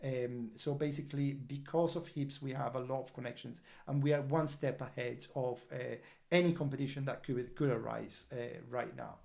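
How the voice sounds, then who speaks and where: Guy hosting a crypto update stream, english isn't his first language, lots of ums and restarts, mid-pitched. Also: fairly even intonation, vocal fry, darkish tone. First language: not English. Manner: halting, with frequent fillers and restarts. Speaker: crypto project team member hosting an update. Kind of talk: livestream project update answering viewer comments.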